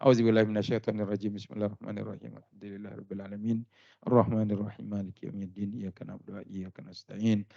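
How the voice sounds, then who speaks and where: A male preacher from Lampung, Indonesia, giving a religious talk with lively anecdotes and frequent laughter. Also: lowish voice, quick pace, vocal fry. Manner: lively storytelling, laughs often. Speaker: Islamic preacher. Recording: dull lo-fi sound.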